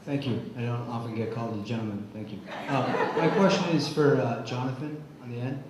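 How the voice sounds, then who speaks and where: A man's voice: mid-range voice, fairly even intonation, brisk. A man in the audience of a public forum, politely putting a question to a panelist in a courteous manner. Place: public meeting hall. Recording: very echoey hall, faint background noise.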